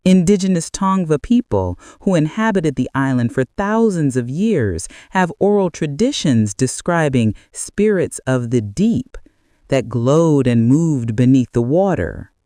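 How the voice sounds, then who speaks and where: A man narrating a scripted bedtime mystery story in a measured, deliberate way with dramatic pauses. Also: on the high side, very animated, warm tone, no echo, studio quality.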